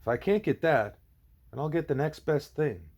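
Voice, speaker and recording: A man's voice: a little high, lively intonation, normal speed, a little mellow, slightly rough, voice pushed up. A man voicing a stubborn, frank young girl pupil in a storybook, blunt and casual, sometimes hesitant.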